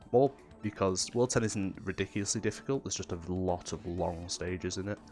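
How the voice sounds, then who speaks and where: A man's voice: deep voice, moderate pace, soft background music. A man with a cold and a nasal voice, casually explaining technical tricks as he commentates his own speedrun. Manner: relaxed, explanatory, with fillers. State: has a cold.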